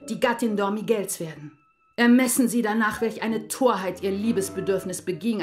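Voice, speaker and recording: A woman's voice: mid-range voice, lightly acted. An actress playing a stern, mature mother in a German radio drama, formal, commanding and reproachful. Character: stern, imperious mature Portuguese mother, secretly passionate.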